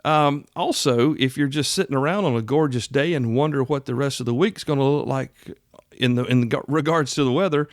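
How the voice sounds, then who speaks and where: A man's voice: medium pitch, fairly quick, dry recording, clean studio sound. A middle-aged man hosting a podcast, explaining things in an earnest, conversational, folksy way. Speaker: podcast host. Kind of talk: casual explanatory monologue.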